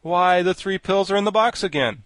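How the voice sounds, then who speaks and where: A man's voice: high-pitched, moderate pace, fairly bright tone, no echo, pitched above the performer's own voice. A man voicing a good-natured, wry and talkative living scarecrow in a storybook, speaking with genial emphasis. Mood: eager. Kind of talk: storybook character voice.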